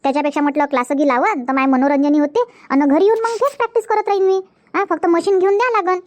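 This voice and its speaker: Woman doing a young cartoon wife who nags nonstop, high fast voice, dry close sound. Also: steady tone, no echo. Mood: pleading. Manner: repetitive, nagging, talks in a rush. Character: young newlywed wife, chatty and complaining. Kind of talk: Marathi comedy cartoon dub.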